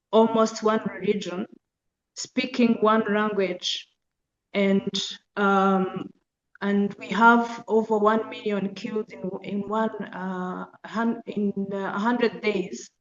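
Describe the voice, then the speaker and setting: Slow-speaking Rwandan woman in an echoey room. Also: medium pitch. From Eastern Rwanda. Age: middle-aged. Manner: measured, halting, with deliberate phrasing. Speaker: guest lecturer, genocide survivor and foundation deputy director. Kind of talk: personal testimony in a public lecture. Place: university lecture room. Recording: muffled, echoey room sound.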